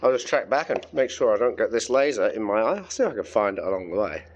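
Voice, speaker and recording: A man's voice: on the low side, animated, quick pace, lo-fi sound. An Australian man giving a casual, chatty hands-on tech demonstration, thinking aloud as he handles his gear. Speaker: amateur radio hobbyist presenting a demonstration.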